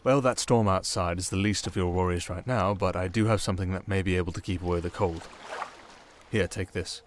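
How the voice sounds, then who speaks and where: A man's voice: sits low, steady tone, a little fast, slight background noise, lightly acted. A British man playing a sardonic, restrained siren in an intimate ASMR roleplay, speaking in a wry, hesitant, confiding way. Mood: dryly teasing. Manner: wry, hesitant, confiding, addressing one listener. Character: sardonic, restrained, softly dangerous male siren sea creature. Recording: water and storm ambience behind voice. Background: running water and rain ambience.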